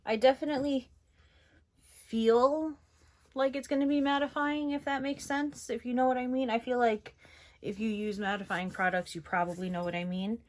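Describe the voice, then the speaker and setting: A woman's voice: on the high side, fairly even intonation, normal speed, darkish tone. A young woman from New York with a general northeastern American accent, chatting casually and rambling as she tests makeup on camera. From New York, USA. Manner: chatty, rambling, lots of fillers. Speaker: beauty vlogger. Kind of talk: casual makeup tutorial chat.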